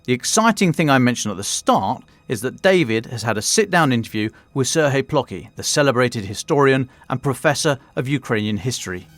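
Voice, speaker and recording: A man's voice: mid-range voice, expressive, fairly quick, hardly any pauses, a bit bright, dry recording. A British man presenting podcast announcements as the host. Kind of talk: scripted podcast narration and announcements.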